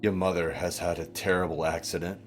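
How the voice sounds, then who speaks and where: A man's voice: low voice, little pitch movement, a little fast, over loud music, lightly acted. A man playing a grave, insistent male investigator bluffing a suspect in a tabletop game. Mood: grave, urgent.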